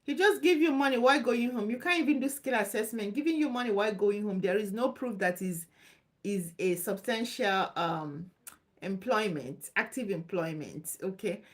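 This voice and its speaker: A woman's voice: mid-range voice, moderate pace. A woman hosting a live Q&A stream, talking loosely and reassuringly, repeating herself as she answers viewers' questions. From Nigeria. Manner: chatty, loose and repetitive, reassuring. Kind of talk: casual live Q&A.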